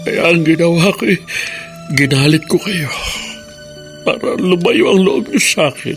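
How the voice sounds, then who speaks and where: An actor playing a repentant elderly widowed father in a Filipino radio drama, speaking in a halting, emotional, pleading way. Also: on the high side, fairly bright tone. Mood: sorrowful confession. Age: older. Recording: music bed behind the voice.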